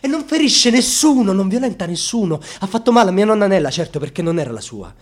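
An actor playing a proud, wounded, defiant grandson in a dramatic radio monologue, with a husky voice. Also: male voice, high-pitched, very animated, quick pace. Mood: furious, impassioned. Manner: dramatic, swinging from hushed confiding to fierce outbursts.

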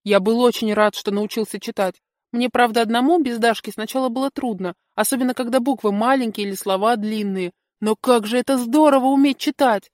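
A woman's voice: on the high side, a little fast, darkish tone, dry recording, clean studio sound, lightly acted. A woman voicing an eager, naive boy of about six, telling a story with earnest, childlike wonder. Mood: amazed, proud. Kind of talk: first-person storybook narration.

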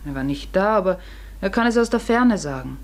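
An actress playing a middle-aged farmer's wife in a German radio drama, anxious and secretive, with a husky, hushed and halting delivery. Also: female voice, medium pitch, expressive, lightly acted. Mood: guilty, grave.

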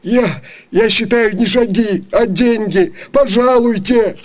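An actor playing a feeble, stubborn porter in a radio comedy, whining and insistent in a theatrical way. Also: male voice, high voice, slow pace, darkish tone.

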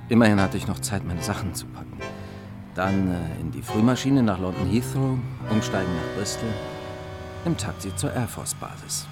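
A wry, melancholy detective in his late thirties, played by a man in a radio drama. His voice sits fairly low and he talks in a dry, laconic, slightly hesitant way. A loud music bed runs behind him. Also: close to an everyday voice. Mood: dry, matter-of-fact. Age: late 30s. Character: wry, melancholy German police investigator, nearly forty. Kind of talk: radio drama, first-person narration and dialogue.

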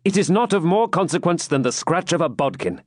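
A man acting a high, pleading young knight. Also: expressive, a little fast, no room sound, clean studio sound. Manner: earnest, pleading, formal archaic speech. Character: loyal, anxious young male knight pleading with his king. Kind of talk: dramatic storybook reading.

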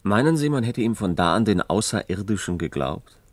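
An actor in a German radio play playing a calm, curious man asking probing questions conversationally. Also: fairly low pitch, brisk, no room sound. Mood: curious, dry. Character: calm, curious male listener questioning a witness.

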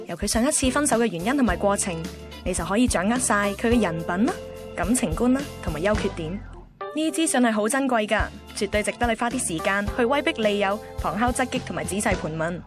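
A woman narrates a scripted radio piece in a mid-pitched voice with a Hong Kong Cantonese accent. She sounds confiding and advisory, with music clearly behind her. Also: expressive, fast. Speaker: narrator-host.

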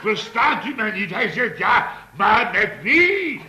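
An actor playing a blustering, indignant boss of a building firm in a Dutch radio comedy, grumbling at length and sputtering with exasperation. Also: male voice, sits high, big pitch swings, slow pace, bright, forceful tone, some chatter behind, heavily acted. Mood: exasperated storytelling. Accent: Netherlands Dutch. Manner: exasperated, sputtering, long-winded comic grumbling. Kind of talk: Dutch radio comedy acting around 1970. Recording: hissy old radio recording.

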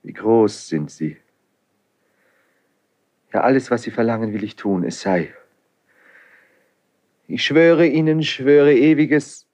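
A lovesick young prince in a classical radio play. He speaks slowly and with passion, the voice husky and swinging widely between hushed despair and outburst. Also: medium pitch. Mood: fervent. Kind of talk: classical German radio drama acting. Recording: 1970s studio radio play.

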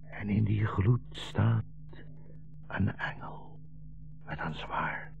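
An actor playing a gentle old blind rabbi, wounded and dying, slow and solemn with a gravelly voice, in a 1960s radio drama. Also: male voice, fairly low pitch, whispered, faint music behind, exaggerated voice. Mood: awed, dying. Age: older. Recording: muffled 1960s radio broadcast recording.

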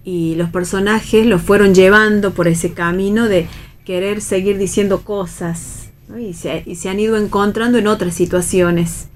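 A woman with an Argentine accent and a mid-range voice. She discusses literature casually and haltingly as a radio panelist. Faint ambient noise sits behind her. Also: mid-range voice, steady tone. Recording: clear background ambient noise.

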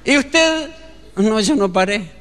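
A man's voice: sits high, expressive, slow, fairly bright tone, long reverb tail. A male pastor with a Chilean accent preaching a sermon and acting out the dialogue of a story. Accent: Chilean Spanish.

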